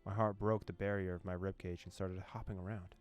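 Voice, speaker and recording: A man's voice: low-pitched, level tone, a little fast, lo-fi sound. A man hosting a let's play, commenting casually in slangy asides and reading game text aloud. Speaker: gaming channel host. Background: game music.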